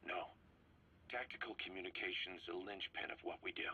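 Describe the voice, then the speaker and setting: Clipped military commander, lowish, very bright sharp voice, faint background hiss, video game. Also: male voice, a little fast, muffled recording. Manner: clipped, businesslike. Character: male military commander, terse, wary.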